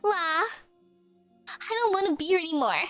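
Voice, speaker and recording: Woman voicing a clingy crybaby younger sister, high wailing voice, soft music under it. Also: very animated, unhurried, heavily acted. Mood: sobbing. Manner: sobbing, whiny, high-pitched wailing. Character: tearful childish young girl, clingy little sister.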